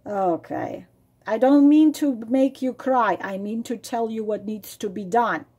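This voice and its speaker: Woman, tarot reading, mellow husky tone, repeats lines, emphatic. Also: on the high side, animated, unhurried. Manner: drops to a soft breathy near-whisper at times. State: losing her voice. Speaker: tarot reader and psychic. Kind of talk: spontaneous spoken tarot reading to viewers.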